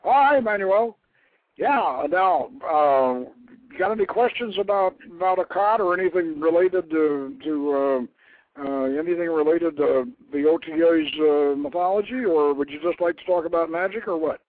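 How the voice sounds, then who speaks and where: A man's voice: a little high, dry recording. Guy hosting a radio show over what sounds like a phone line, dry sound, talks informally, stop-start, repeats himself. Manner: halting, repeats and rephrases words. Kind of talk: informal explanatory talk, taking calls.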